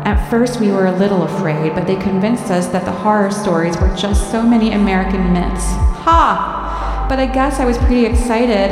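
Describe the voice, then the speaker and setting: A woman voicing a bitter, naive teenage girl diarist in an expressive, confessional dramatic reading. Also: mid-range voice, heavy reverb, big-room sound, lightly acted. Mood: excited, dreamy. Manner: foul-mouthed, confessional, expressive.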